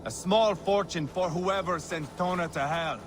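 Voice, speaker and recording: An actor playing a hot-tempered, bloodthirsty Viking warrior in a video game, speaking with biting scorn. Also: male voice, high voice, normal speed, noisy background, natural voice. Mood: grim, menacing. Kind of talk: video game character acting.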